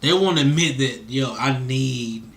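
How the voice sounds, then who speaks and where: A man's voice: mid-range voice, a little slow, fairly bright tone. An American man interviewing in a casual, laid-back conversational way, slangy and checking in with the listener.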